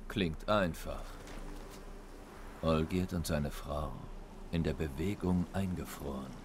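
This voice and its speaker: A gruff, very deep-voiced monster hunter. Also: male voice, slow, background noise. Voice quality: very deep, dry and laconic. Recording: game audio over loud music.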